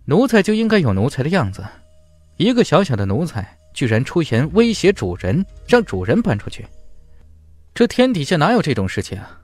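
A cold, arrogant young martial master acted by a male narrator from a fantasy audiobook. He taunts haughtily with an animated, swinging delivery. The voice is close and dry. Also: on the high side, a little fast, dry recording, faint music behind, pitched above the performer's own voice. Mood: stern scolding.

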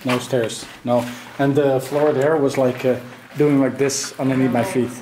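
A middle-aged man who is not an English speaker, talking in a halting way and thinking aloud as he walks through an abandoned house on a handheld camera mic. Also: medium pitch, fairly even intonation, background noise. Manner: halting, thinking aloud, drops to a hushed whisper at times. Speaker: urban explorer host. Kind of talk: casual walkthrough commentary. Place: large abandoned house, indoors. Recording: echoey empty rooms, music underneath.

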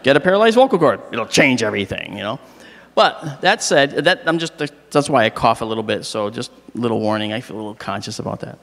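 A middle-aged man with a slightly hoarse voice gives a joking, animated astronomy lecture on stage into a handheld mic. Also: mid-range voice, fast. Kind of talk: public lecture with jokes. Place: large hall, on stage. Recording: long reverb of a large hall.

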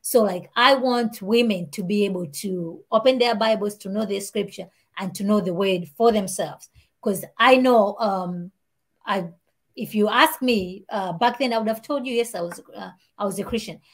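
A woman with a Malawian accent talks through her story as an interview guest, lively and gesturing as she speaks. She sits at home and comes through a video call headset. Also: medium pitch, moderate pace. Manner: animated, talks with her hands. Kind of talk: casual interview chat. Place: home room with shelves and warm lamp. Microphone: video call, earbuds.

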